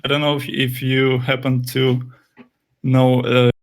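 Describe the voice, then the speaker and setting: A man's voice: medium pitch, completely flat delivery, measured pace. A young man whose first language is Russian, a game developer answering interview questions hesitantly, with many fillers and restarts.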